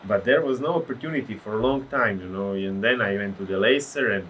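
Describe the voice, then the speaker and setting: Croatian man, low voice, storytelling. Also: fast talker, slight background noise, rough recording. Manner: animated storytelling, searches for words. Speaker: guest, pro windsurfer. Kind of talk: casual podcast interview.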